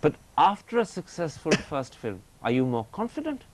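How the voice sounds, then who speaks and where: A man's voice: on the high side, big pitch swings, normal speed, slight background noise. A male interviewer asking direct, probing questions on a TV interview show.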